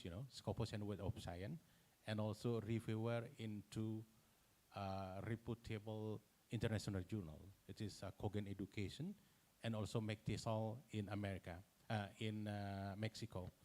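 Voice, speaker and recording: A middle-aged man from Sumatra with an Indonesian accent, answering a conference question unscripted, halting and full of fillers and self-corrections. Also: lowish voice, darkish tone. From Kerinci, Sumatra, Indonesia. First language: Indonesian regional dialect.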